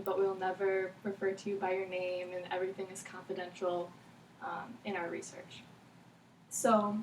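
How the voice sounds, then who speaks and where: A woman in her twenties moderating a student focus group, with many pauses and ums. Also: mid-range voice, slight background noise. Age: 20s. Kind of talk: scripted moderating, reading rules. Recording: echoey room, far from the mic.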